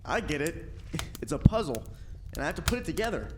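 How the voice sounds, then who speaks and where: Man playing a cheeky fearless lad, very lively. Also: fairly high pitch, brisk, heavy background noise. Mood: breezy. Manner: unfazed, wisecracking. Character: fearless, cheeky, naive young lad in a folk tale. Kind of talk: storybook radio play acting. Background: music.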